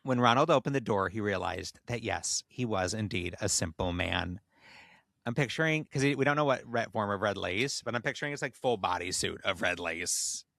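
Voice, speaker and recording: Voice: low-pitched, lively intonation, normal speed, creaky, a bit bright, dry recording, clean studio sound. A podcast host reading fan fiction aloud in a playful, dramatic way, breaking off for joking asides.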